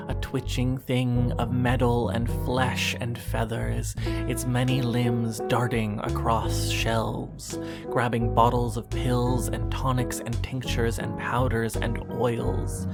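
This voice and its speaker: A performer playing a wistful, brooding narrator who addresses a former lover in slow, poetic, deliberate horror-drama narration. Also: medium pitch, gravelly. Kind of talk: scripted second-person horror audio drama narration.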